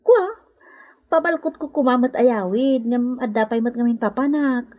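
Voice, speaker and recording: An actress playing a well-off, generous madam with an Ilocano accent in a comedy radio drama, speaking in an animated, coaxing way. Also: female voice, on the high side, normal speed, close to an everyday voice. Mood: chatty. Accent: Filipino, Ilocano.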